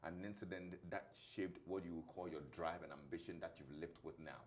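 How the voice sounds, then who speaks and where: A man with a fairly low voice hosts a radio interview. He listens closely, drops in quick "yeah" and "mm-hmm" acknowledgements, then puts his questions in a measured way. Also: fairly even intonation, slight background noise. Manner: attentive, many short acknowledgements. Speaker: radio host.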